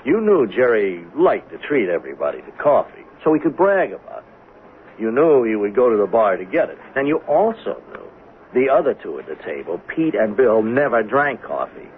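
An actor playing a stern, relentless police lieutenant, pressing and accusatory, in 1970s radio drama style. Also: male voice, medium pitch, sing-song, moderate pace, close to an everyday voice. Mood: accusing. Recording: tinny band-limited noisy 1970s radio recording.

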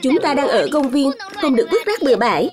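High little boy voice, serious bossy kid leader, kids cartoon dub, music behind. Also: sing-song, fast talker. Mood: bossy, stern. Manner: earnest, insistent. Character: earnest, dutiful little boy, proud young group leader. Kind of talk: dubbed children's cartoon acting.

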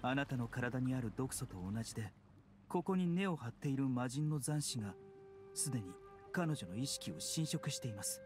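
A man's voice: mid-range voice, lively intonation, a little mellow, close to an everyday voice. A man playing a composed, refined young doctor. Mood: grave, composed. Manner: polite, measured, clinical. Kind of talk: Japanese anime game acting. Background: background music.

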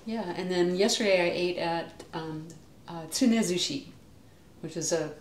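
A woman's voice: fairly low pitch, unhurried. An older woman chatting casually as a guest on a live stream. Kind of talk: casual chat. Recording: echoey room, faint ambient noise.

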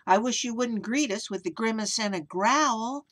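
A woman's voice: on the high side, normal speed, fairly bright tone, pitched above the performer's own voice. This is a perky little storybook sea creature played by a woman reader. It coaxes and chides in a lifted, lilting delivery, urging cheer. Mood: coaxing, chiding.

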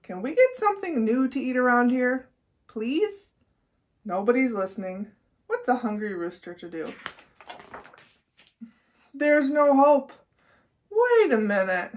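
A woman's voice: sits high, sing-song, measured pace, long pauses. A woman voicing an eager, bossy rooster who dreams of cooking, in a storybook reading. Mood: fed up.

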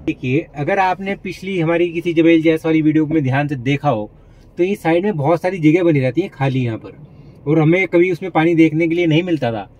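A man's voice: a little high, brisk, darkish tone, highs cut off, slight background noise. A man narrating a vlog as he drives, chatty and pointing things out to viewers, mixing English phrases into his talk. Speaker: vlogger. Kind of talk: casual narration while driving. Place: inside a car.

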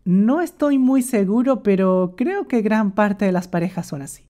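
A woman's voice: mid-range voice, expressive, normal speed, dark tone. A woman narrating a comic cartoon with sarcastic, playful asides. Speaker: animator narrator.

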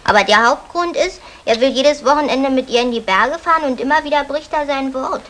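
Sweet, high-voiced young housemaid. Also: female voice, a little fast, fairly bright tone. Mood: earnest. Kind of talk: 1960 German radio comedy acting.

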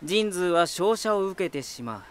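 A playful male lighthouse spirit from an anime-style audio drama. His voice sits fairly high for a man, and he speaks with boyish self-assurance, teasing and talkative, with an eerie taste for battle underneath. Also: lively intonation, measured pace, clean tone. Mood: intense narration. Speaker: voice actor in a character drama.

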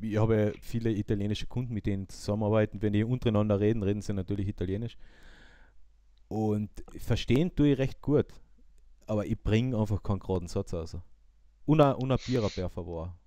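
A man with an Austrian accent chatting casually as a podcast co-host, halting and repeating himself as he searches for words. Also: fairly low pitch, fairly even intonation, warm tone, no room sound. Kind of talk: casual chat.

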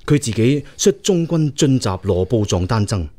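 A man's voice: medium pitch, very animated, normal speed, warm tone. A man playing a loyal prince, the emperor's younger brother, advising him earnestly and deferentially in a radio drama. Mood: earnest, reporting. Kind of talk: Cantonese radio drama acting.

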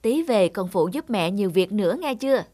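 A woman voicing a gentle, lonely village mother in a children's cartoon, speaking softly and affectionately. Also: mid-range voice, lively intonation, a little fast, no room sound, lightly acted. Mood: fond. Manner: soft, patient, affectionate. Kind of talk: dubbed children's cartoon dialogue. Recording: music behind the voice.

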